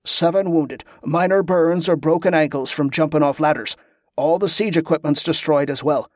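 A man's voice: a little high, moderate pace, no echo, studio quality, close to an everyday voice. A man playing a grave, deferential male soldier who gives terse reports in inverted dialect phrasing, in a fantasy audiobook.

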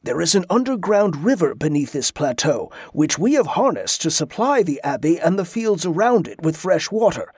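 A calm monk explaining things in a formal, measured tone, as the male narrator reads him. The voice is a man's, a touch high, with a close, dry sound. It plays as audiobook storytelling. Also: slightly rough, no echo.

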